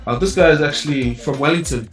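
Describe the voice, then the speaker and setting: A man's voice: fairly high pitch, fast, recorded from a distance. A man from New Zealand talks easily about his music, laughing often and leaning on fillers. He sits back from the mic in an echoing room. Music plays faintly underneath. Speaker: DJ and music producer. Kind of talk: casual interview chat.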